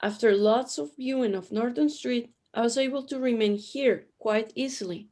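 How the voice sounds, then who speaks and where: A woman playing a serene otherworldly companion in a radio play, measured and solemn. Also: fairly high pitch, darkish tone, natural voice.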